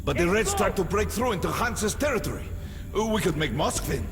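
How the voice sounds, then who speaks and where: A man's voice: sits high, sing-song, a little fast. An actor playing an insistent male survivor in a dramatic video game, speaking with urgent, persuasive conviction. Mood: insistent, grim. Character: impassioned, insistent male survivor advising a colonel. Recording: long reverb, music underneath. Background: music.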